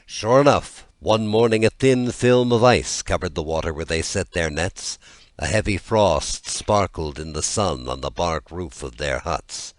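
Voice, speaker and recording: A man reading a scripted fairy tale as an audiobook narrator, in a measured and expressive storytelling manner. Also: low-pitched, dry recording.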